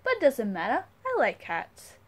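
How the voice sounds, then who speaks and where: A woman's voice: a little high, huge pitch swings, normal speed. A woman voicing a polished schoolgirl captain who screams shrilly in disgust while reading a storybook aloud. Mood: polite.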